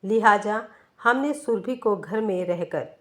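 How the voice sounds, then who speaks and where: Female narrator for an emotional first-person short story, unhurried delivery, mid-range pitch, expressive measured reading.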